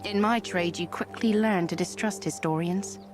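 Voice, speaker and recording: An actress playing a wry, worldly herbalist and fortune-teller in a game cutscene, musing with a theatrical touch. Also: female voice, mid-range voice, moderate pace, close to an everyday voice. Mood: musing, sardonic. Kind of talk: video game cutscene acting. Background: music.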